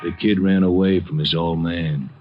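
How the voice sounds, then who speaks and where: Man acting a low-voiced menacing radio gangster. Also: fairly even intonation, normal speed, a little hiss or hum, natural voice. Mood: cold, smug. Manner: curt, commanding, threatening. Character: menacing gangster henchman, cold tough adult man. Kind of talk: 1950s radio drama acting. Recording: muffled old radio recording, loud music.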